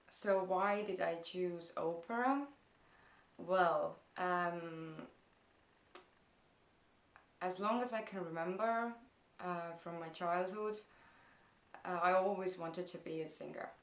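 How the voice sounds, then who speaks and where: A woman's voice: on the low side, roomy sound. A young woman from Estonia with an Estonian accent, talking casually through viewer questions in her first vlog, with many hesitant fillers. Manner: hesitant, many "um"s, slow and thoughtful. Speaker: vlogger. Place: parents' house, indoors.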